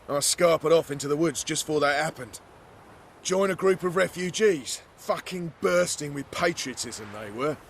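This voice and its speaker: Casting: downtrodden deserter for fantasy game, higher male register, gravelly, coarse common speech. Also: a bit bright, a little hiss or hum. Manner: coarse, colloquial. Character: rough, beaten-down male army deserter, plain-spoken and grateful. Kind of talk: video game character acting.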